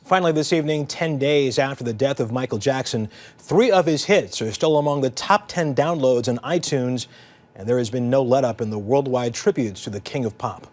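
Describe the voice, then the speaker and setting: A man reading the evening news as anchor, in a steady, measured studio delivery. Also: medium pitch.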